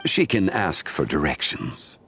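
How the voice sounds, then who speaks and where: A wise, serene gentleman in a video game. The voice is low and gravelly, slow and formal, with cryptic, philosophical phrasing. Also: very animated, a little hiss or hum, exaggerated voice. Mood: serene, reflective. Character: calm, wise, unhurried male consultant, cryptic and refined.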